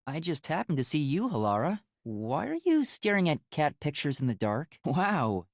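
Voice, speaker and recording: A man voicing a timid, earnest teenage boy detective in a video game, with a soft youthful voice and a hesitant, polite, stammering way of talking. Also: on the high side, wildly swooping pitch, moderate pace, no room sound, clean studio sound. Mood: curious. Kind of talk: English video game voice acting.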